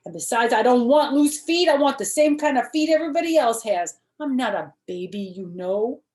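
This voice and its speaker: Storybook kindergarten girl, played by a woman: insistent, huffy and self-important, pitched up, in a roomy recording. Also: lively intonation, normal speed, darkish tone, natural voice. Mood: indignant. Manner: emphatic, childish grammar, insistent. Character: bossy, indignant kindergarten girl insisting she's grown-up. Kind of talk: children's storybook character voice.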